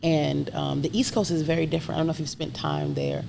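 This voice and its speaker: A woman's voice: low voice, little pitch movement, fast, creaky. A woman in her thirties from Brooklyn, talking openly and animatedly as an interview guest, laughing often. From Brooklyn, New York. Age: 30s. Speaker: guest, chef and entrepreneur. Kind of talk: candid conversational interview. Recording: noisy background ambience.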